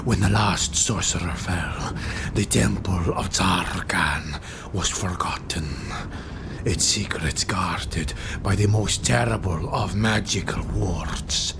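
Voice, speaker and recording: A man's voice: low voice, slow, gravelly. A man narrating a fantasy audiobook in a dramatic, scripted storytelling style. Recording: noisy home recording.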